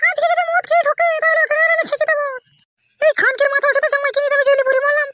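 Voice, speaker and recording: A woman's voice: extremely high pitch, fairly even intonation, very fast, pitched above the performer's own voice. A woman voicing a foul-mouthed, fierce young wife who threatens her husband in a comic cartoon. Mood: angry.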